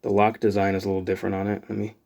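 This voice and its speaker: A man's voice: low voice, very fast, creaky. A man, chatting casually as he unboxes items for his channel, rambling with lots of fillers. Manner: rambling, filler-heavy, relaxed. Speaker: channel host.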